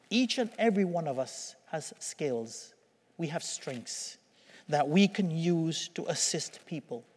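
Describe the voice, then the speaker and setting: A man preaching a homily as a priest, with a slightly raspy voice and a measured, emphatic delivery full of dramatic pauses. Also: on the high side, darkish tone. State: slightly raspy voice from recent illness. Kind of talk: sermon. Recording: long hall reverberation.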